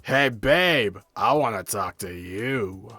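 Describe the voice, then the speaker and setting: A man's voice: lowish voice, expressive, takes it slowly, pushed and bright, no echo, full character voice. A man playing a rude, lecherous drunken delinquent in a fan-dubbed visual novel.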